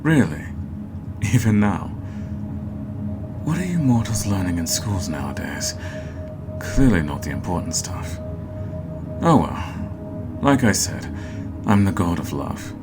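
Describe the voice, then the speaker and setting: A man's voice: deep voice, sing-song, slow pace, long pauses, full character voice. A man playing a flirty, playful god of love who poses as a matchmaker, in a teasing, intimate roleplay ASMR style. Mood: playful, proud. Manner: teasing, coaxing, conversational. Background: music.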